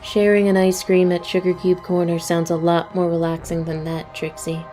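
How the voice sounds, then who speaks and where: A lowish, soft-edged cartoon pony lady, acted by a woman, utterly monotone. She is deadpan and dryly contrary, never sounding excited, with music underneath. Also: moderate pace, lightly acted. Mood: indifferent. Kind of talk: fan audio drama, cartoon-style acting.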